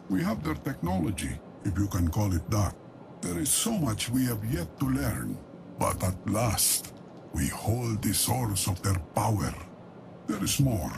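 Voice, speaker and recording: An actor playing a composed, calculating military leader of a proud dynasty in a video game briefing, speaking in a measured, formal way. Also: male voice, lowish voice, gravelly, noisy background, full character voice.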